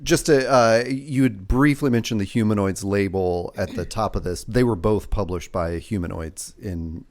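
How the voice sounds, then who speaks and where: A man's voice: fairly low pitch, normal speed, few pauses, darkish tone. A man co-hosting a podcast, chiming in with short reactions and questions. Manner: brief interjections and questions. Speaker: podcast co-host. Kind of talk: casual chat.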